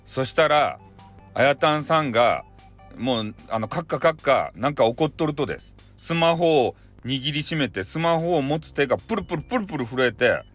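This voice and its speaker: A man with a Kyushu accent from Fukuoka narrating a comic story in a casual, halting, conversational way. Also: mid-range voice, very animated, fairly bright tone, faint music behind. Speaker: storyteller, audio show host.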